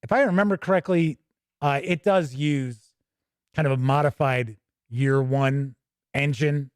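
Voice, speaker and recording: A man's voice: mid-range voice, unhurried, smooth, no room sound, clean studio sound. An American man hosting a tabletop gaming show, reviewing casually off the cuff and thinking aloud as he rambles.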